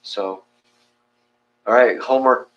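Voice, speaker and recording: A man's voice: medium pitch, slow, fairly bright tone, dull audio. A man hosting a meditation session, chatting casually in a rambling, informal way and often restarting his sentences. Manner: rambling, informal, self-correcting. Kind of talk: casual chat after guided meditation.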